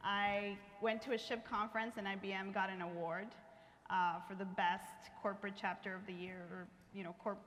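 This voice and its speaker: A woman's voice: mid-range voice, little pitch movement, moderate pace, fairly bright tone. A woman from Bolivia introducing herself on a live panel in a friendly, storytelling way. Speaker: panelist, engineer. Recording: reverberant hall with faint room noise.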